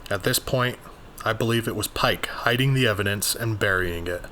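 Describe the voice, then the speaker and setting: Man as a low-voiced, exasperated investigator. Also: normal speed, pushed and bright, a little hiss or hum. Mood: brooding. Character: earnest, exasperated, bookish male occult investigator of 1920s. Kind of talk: first-person journal narration, dramatized.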